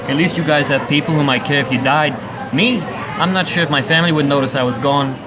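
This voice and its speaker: A man's voice: on the high side, fairly quick, a crowd in the background, lightly acted, rough recording. A man playing a guilt-ridden, resigned jailed killer in an amateur radio play. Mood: guilty, bitter.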